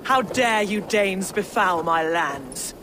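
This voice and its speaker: A woman's voice: medium pitch, animated, slow pace, background noise. A woman playing a haughty, vengeful noblewoman in a video game, with a scornful, imperious manner. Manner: imperious, disdainful. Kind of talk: dramatic video game acting. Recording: dramatic music behind the voice.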